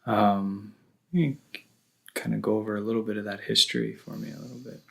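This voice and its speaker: A man's voice: on the low side, steady tone, fairly quick, vocal fry. A man hosting an interview, asking questions conversationally in tentative, hedged phrasing. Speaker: interviewer, host.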